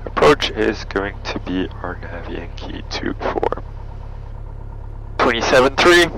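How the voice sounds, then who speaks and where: Low, gravelly man pilot in a cockpit. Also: sing-song, slow. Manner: clipped, thinking aloud in short phrases. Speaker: private pilot briefing and flying a small plane. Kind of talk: procedural cockpit briefing and checklist talk. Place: small airplane cockpit. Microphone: aviation headset. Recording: engine and cockpit noise behind voice.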